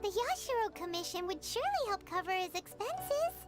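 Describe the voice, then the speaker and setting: A tiny fairy sidekick from a video game, chirpy and blunt, with an extremely high voice that leaps wildly up and down. Her sing-song, excitable delivery rides over bright game music. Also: a little fast.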